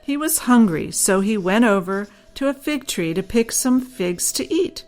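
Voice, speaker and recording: A woman's voice: mid-range voice, lively intonation, unhurried, a little mellow, faint music behind. A woman reads her own children's story as scripted audiobook narration.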